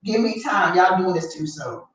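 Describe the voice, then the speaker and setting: A woman's voice: on the low side, moderate pace, distant mic, crowd noise. Playful woman host in an echoey room. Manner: playful, reads viewer comments aloud and greets listeners. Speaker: radio show host reading comments. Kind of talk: casual chat. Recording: echoey, heard from off mic.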